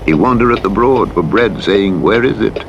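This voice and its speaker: A man with a very low voice gives a solemn reading of scripture. His delivery is formal and measured, with a dramatic narrator's weight. Clear truck engine and vehicle noise runs behind him. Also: animated, highs cut off. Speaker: Bible audiobook narrator. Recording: playback under loud truck engine noise. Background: truck engine and vehicle noise from a driving game.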